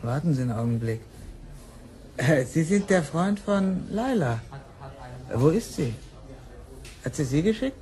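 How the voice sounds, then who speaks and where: An actor playing a worn-out, jittery drug addict in a German radio crime drama. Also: male voice, fairly high pitch, big pitch swings, a little slow, pauses often, darkish tone. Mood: jittery, wary. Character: worn-out, jittery heroin addict, evasive and frank.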